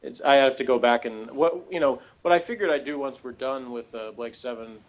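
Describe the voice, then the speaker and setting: A man chats casually as co-host of a review show. He rambles through his opinions with a sardonic edge. His mid-pitched voice comes through a muffled, low-fidelity connection. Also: brisk, slight background noise.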